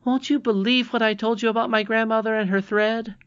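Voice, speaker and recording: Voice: mid-range voice, lively intonation, moderate pace, dull audio, voice pushed up, natural voice. A reader voicing a confident, insistent young princess with an earnest manner in a storybook. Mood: eager, triumphant.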